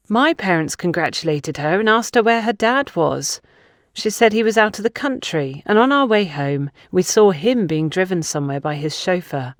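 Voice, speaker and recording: A woman's voice: medium pitch, no room sound, studio quality, lightly acted. A woman voicing a defensive, suspicious young woman under questioning in an audio drama, with an accusatory, indignant manner. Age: young adult.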